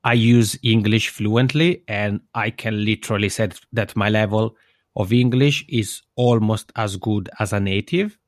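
A man's voice: on the low side, unhurried, dry recording, clean studio sound. A man from Switzerland whose native language is Italian, answering interview questions in a reflective, explanatory way and often rephrasing himself. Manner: reflective, self-correcting, explanatory. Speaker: interview guest.

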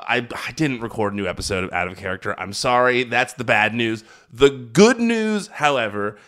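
A man hosting a wrestling podcast, talking in an energetic, hyped-up, chatty way while recovering from being sick. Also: mid-range voice, clean tone. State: recovering from illness. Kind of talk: casual podcast recap.

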